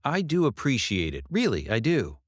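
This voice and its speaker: Man reading as a wry, guarded woman, lively pitch. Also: moderate pace, dry recording, clean studio sound. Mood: earnest. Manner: sardonic, plain-spoken, guarded. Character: wry, defiant woman under threat.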